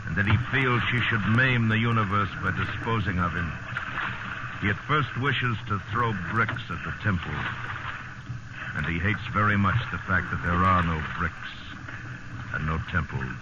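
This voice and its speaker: A man acting as the grave, measured narrator of a sea tale in a 1950s radio drama. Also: low-pitched, very noisy, close to an everyday voice. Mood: grave, philosophical. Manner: solemn, measured, deliberate. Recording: muffled vintage radio recording with music. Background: loud dramatic music.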